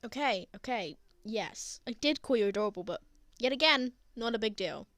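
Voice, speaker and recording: A woman playing a prickly, flustered, lovestruck young man in an intimate ASMR roleplay, with halting, sardonic delivery. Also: fairly high pitch, expressive, a bit bright, no room sound. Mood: flustered, defensive.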